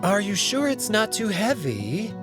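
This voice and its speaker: A man voicing a shy, polite, deferential male animal servant in a visual novel, gentle and hesitant. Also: high-pitched, huge pitch swings, unhurried, over music, voice pushed up, full character voice. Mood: timid concern.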